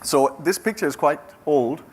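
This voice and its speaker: A man's voice: fairly high pitch, a little mellow. A middle-aged man from Denmark with a Danish accent, giving a conversational founder's talk with frequent restarts. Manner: conversational, halting with many restarts. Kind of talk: conference talk.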